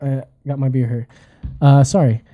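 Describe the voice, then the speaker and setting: A man's voice: medium pitch, little pitch movement, normal speed, phone-quality sound. A man co-hosting a casual talk show, trading heated political banter in a blunt, profane, combative way. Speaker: podcast co-host.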